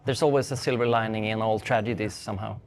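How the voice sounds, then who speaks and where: A man's voice: on the low side, steady tone, fast, slight background noise. A man interviewing a guest for his channel in a casual, conversational way.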